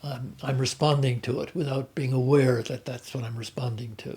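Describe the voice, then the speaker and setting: An older man with an Irish accent, talking about his life at an unhurried pace, often pausing to restart his sentences. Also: mid-range voice, fairly even intonation, a little mellow. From Ireland. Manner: hesitant, with many restarts and fillers. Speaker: interviewee. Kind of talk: reflective conversational storytelling.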